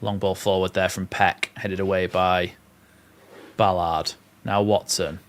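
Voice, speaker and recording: A man's voice: low voice, level tone, measured pace. A young man with a northern English accent, calling a live football match on a watchalong stream and chatting with viewers. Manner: play-by-play calls mixed with reading out viewer comments. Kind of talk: live match commentary and chat.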